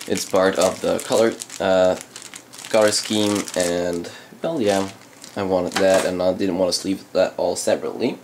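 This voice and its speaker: Dutch native speaker, male, very low voice, halting hobbyist unboxing talk. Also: noisy background. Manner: halting, many ums and uhs. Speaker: channel host reviewing PC parts. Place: indoors at a desk, hands on camera.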